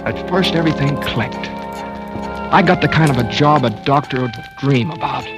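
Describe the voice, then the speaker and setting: A man plays a doctor who narrates his own tense tale in an old radio play. His voice is mid-pitched and confiding. Clear music runs beneath the broadcast. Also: normal speed, muffled recording, close to an everyday voice. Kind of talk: 1940s radio drama narration.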